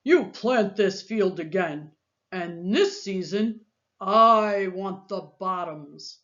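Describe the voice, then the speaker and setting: A woman voicing a lazy, sleepy, slow-witted male bear from a storybook, with a drowsy, grunting manner. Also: mid-range voice, lively intonation, fairly bright tone, highs cut off. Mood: indignant.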